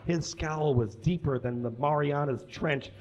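Male live reader, theatrical comic delivery, voice on the higher side, somewhat muffled recording. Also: measured pace, a little mellow. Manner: theatrical, emphatic, with stumbles over words. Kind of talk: comic dramatic reading of fan fiction to an audience. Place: small indoor stage with curtains.

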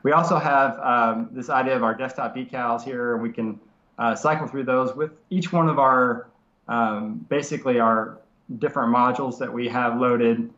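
A man in his thirties with a nasal voice from a cold, explaining software casually over a video call from his home study, with many fillers and restarts. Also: mid-range voice, steady tone, normal speed. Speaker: software developer guest. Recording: roomy lo-fi video call audio.

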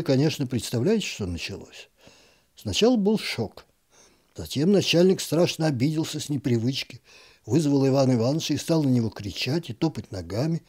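A man narrating a short story in an expressive literary reading, with a wry, ironic delivery. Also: medium pitch, husky.

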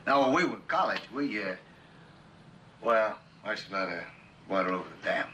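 An actor playing a bitter, disillusioned middle-aged executive husband in a television drama, with a halting, sardonic delivery. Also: male voice, on the low side, expressive, bright, forceful tone, a little hiss or hum. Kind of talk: 1960s television drama acting.

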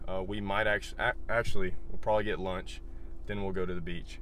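A man's voice: deep voice, brisk, a little hiss or hum. A young man vlogging to his phone from inside a car and at home, chatting loosely about his fitness routine with plenty of fillers. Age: young adult. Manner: relaxed, chatty, lots of "like" and "you know". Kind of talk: casual vlog talk to camera. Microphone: phone camera held or mounted nearby.

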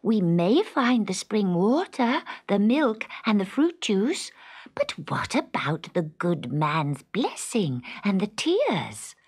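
A woman's voice: medium pitch, very animated, takes it slowly, darkish tone, slightly rough, pitched above the performer's own voice, full character voice. A woman voicing a cautious, worried young male cat in a children's story, with an urgent, warning manner.

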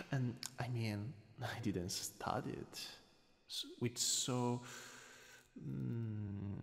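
Man acting as courteous male vampire lord, Italian accent, very slow hushed delivery, sly, ASMR roleplay, long hall reverb with music. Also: mid-range voice, creaky, exaggerated voice. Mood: hesitant, hospitable. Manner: halting, hushed, slipping into whispers and soft chuckles.

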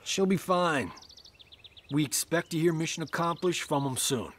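A man's voice: fairly high pitch, normal speed, fairly bright tone, a little hiss or hum, close to an everyday voice. A man playing an easygoing action hero veteran in a video game, breezy and casual. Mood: reassuring. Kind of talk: video game cutscene acting.

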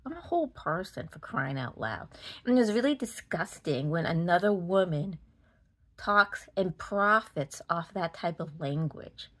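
Low-pitched Boston woman, emphatic. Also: lively intonation, measured pace, a bit bright. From Massachusetts, United States. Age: 40s. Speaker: vlogger. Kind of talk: casual opinion rant to camera. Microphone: phone at arm's length.

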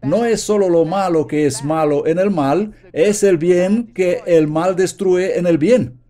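A man's voice: high voice, a little slow, warm tone. A man giving an earnest, emphatic sermon, reading quotations aloud from his notes. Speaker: preacher. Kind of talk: sermon read from notes, Spanish voice-over.

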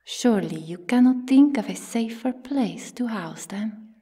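A woman playing a regal, firm Amazon queen in an ASMR roleplay, speaking softly and formally, often in a whisper. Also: fairly high pitch, expressive. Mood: firm, commanding. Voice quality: soft, breathy, often whispering. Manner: formal, measured, commanding.

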